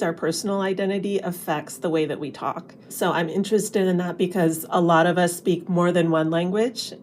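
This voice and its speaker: A woman's voice: on the low side, a little hiss or hum. A woman linguistics professor explaining ideas conversationally to children, with casual fillers. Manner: chatty, with fillers.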